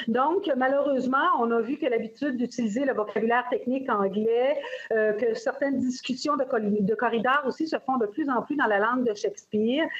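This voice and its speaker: A woman's voice: fairly high pitch, breathy edge, lo-fi sound. A woman with a Québécois accent presenting a prepared statement at a hearing, earnest, with folksy sayings. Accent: Québécois French. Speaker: union representative presenting a brief.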